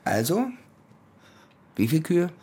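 An actor playing a menacing young soldier and domineering elder brother in a German radio play, with a commanding, taunting way of talking. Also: male voice, fairly high pitch, sing-song, slow pace, warm tone, slightly rough, full character voice. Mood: grim storytelling.

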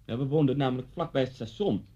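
A man's voice: mid-range voice, quick pace, dark tone, slightly rough, lightly acted. An actor in a Dutch radio play, playing a talkative, coolly unsettling policeman who speaks politely and insinuatingly. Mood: nostalgic chatty. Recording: dull, muffled old radio recording.

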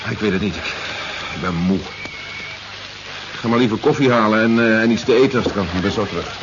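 A man's voice: on the low side, fast talker, lightly acted. Man acting a terse army general, dull old recording. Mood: weary. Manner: terse, authoritative. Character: weary, commanding male army general on alert. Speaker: actor in a radio play. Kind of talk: 1970s science fiction radio drama acting. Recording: dull 1970s radio recording, faint hiss.

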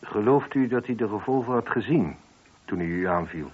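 A low, husky detective inspector in his thirties, dry and probing. He has a sardonic edge, in a radio drama with dull sound. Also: animated, normal speed. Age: 30s. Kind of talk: radio play acting. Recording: dull, lo-fi old radio recording.

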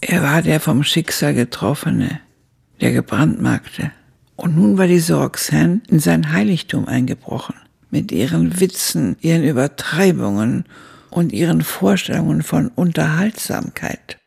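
An actress playing an elderly woman who reflectively recalls her youth, narrating a literary radio drama in a gravelly, raspy voice. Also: deep voice, animated, a little slow, a little mellow, full character voice. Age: older.

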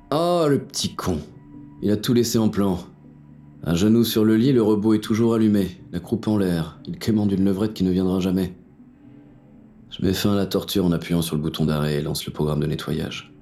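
Over a music bed comes a very low voice: a hard-boiled, cynical fugitive acted by a man in a noir audio drama. He tells his own story in French-accented, crude and terse slang, like a wounded gunman on the run. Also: mellow, lightly acted. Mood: irritated. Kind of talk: first-person noir audio fiction narration.

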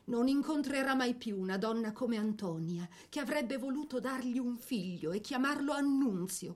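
Woman narrating a radio play, average pitch, measured expressive storytelling, scripted.

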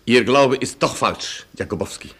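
A man's voice: medium pitch, expressive, normal speed, fairly bright tone, husky. A man playing a proud, passionate Polish colonel with a grandiloquent, declamatory manner in a German radio play. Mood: stern, fervent. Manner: pompous, declamatory.

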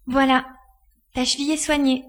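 A woman's voice: sits high, little pitch movement, moderate pace, close to an everyday voice. A woman playing a helpful, plain-spoken young girl in an audio drama. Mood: matter-of-fact. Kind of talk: French audio drama acting.

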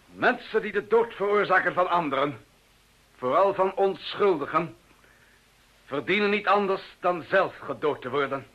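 An actor playing a formal, deliberate arms salesman with quiet deadly intent in a radio play. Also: high voice, animated. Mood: grave, menacing. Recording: dull old radio recording.

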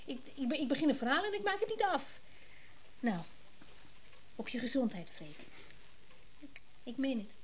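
An actress playing a scatterbrained, halting rich wife in a Dutch radio drama, trailing off mid-sentence. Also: female voice, high-pitched, big pitch swings, slight background noise. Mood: earnest.

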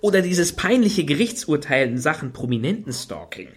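A man's voice: on the high side, sing-song, normal speed. An actor playing a carping, indignant man in a satirical radio play, emphatic and sardonic. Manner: emphatic, sardonic, long fault-finding sentences. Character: carping, indignant male critic among an artist's entourage.

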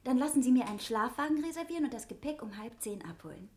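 An actress playing an emotional, stubborn young wife in a 1960s German radio crime play, firm and insistent. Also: female voice, high voice, lively intonation, fast. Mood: composed, determined.

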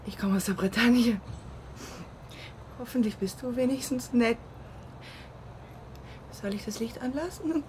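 An actress in a radio play voicing a young prostitute, soft, matter-of-fact, casual and a little wary. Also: female voice, on the high side, unhurried, long pauses, slight background noise, natural voice. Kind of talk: radio drama dialogue.

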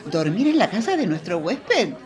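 An actress playing a haughty, indignant member of a theatre troupe in a radio drama, with an Argentine accent and pointed, theatrical delivery. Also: female voice, medium pitch, sing-song, moderate pace, a little mellow, a faint crowd, natural voice.

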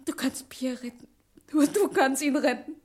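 A woman's voice: sits high, normal speed, lightly acted. An actress playing a frightened, pleading woman who speaks hesitantly in a German radio crime drama. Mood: desperate pleading.

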